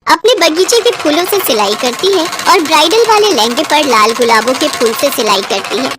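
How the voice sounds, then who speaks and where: A woman narrating a children's moral cartoon story in a steady, clear way. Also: high-pitched, moderate pace, background noise.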